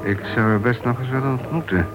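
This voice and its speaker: An actor playing a smooth, guarded ex-convict in a Dutch radio play, polite but probing and curt. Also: male voice, medium pitch, quick pace, a crowd in the background, lightly acted. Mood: curious, polite. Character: smooth, guarded male ex-convict, new club member. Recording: dull old radio recording, music behind.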